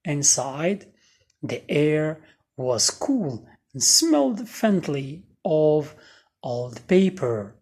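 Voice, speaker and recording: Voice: medium pitch, very animated, very slow, a little mellow, slightly rough. A storyteller reading a scripted bedtime story for children.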